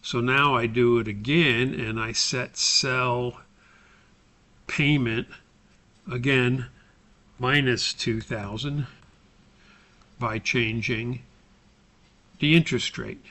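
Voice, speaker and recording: An American man giving an informal, step-by-step software tutorial as a course instructor, pausing mid-sentence as he explains. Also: mid-range voice, fairly even intonation. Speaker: course instructor recording a tutorial for his class.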